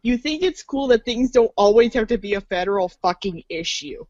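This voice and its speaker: A woman narrates a comic storybook with playful sarcasm. Her voice is fairly high and lively in pitch, and the recording is dry and a bit dull. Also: moderate pace, dry recording. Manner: playful, sardonic. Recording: muffled, dull lo-fi audio.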